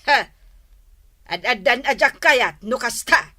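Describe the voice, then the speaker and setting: A woman with an Ilocano accent playing a fussy, excitable old mother-in-law in a radio comedy-drama, full of drawn-out exclamations. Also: on the high side, full character voice. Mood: nagging, sulky.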